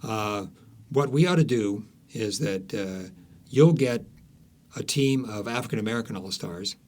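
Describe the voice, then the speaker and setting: A man's voice: fairly low pitch, measured pace, darkish tone. A man speaking as an author being interviewed, telling stories conversationally with frequent false starts and repeated words.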